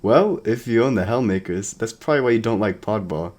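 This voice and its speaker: A man's voice: on the low side, lively intonation, brisk, darkish tone. A man playing a brash, hard-partying mercenary in a sci-fi audio drama, speaking casually. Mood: brash, relaxed. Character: hard-partying, sports-mad mercenary guy.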